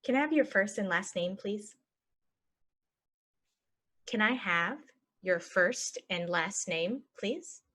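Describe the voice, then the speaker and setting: A woman teaching English phrases, saying each one and then repeating it slowly and clearly for learners. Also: medium pitch, expressive, pushed and bright. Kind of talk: slow phrase-by-phrase language lesson.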